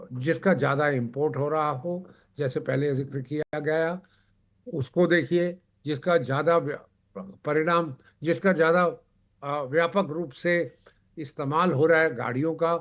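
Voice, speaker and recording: A man's voice: fairly high pitch, measured pace. A man speaking as a panelist in a televised discussion, emphatic, in short broken phrases with repetition.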